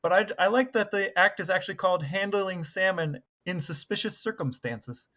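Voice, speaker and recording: A man with a somewhat high voice co-hosts a podcast. His talk is casual, humorous conversation between two hosts. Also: moderate pace, bright, forceful tone. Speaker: podcast co-host.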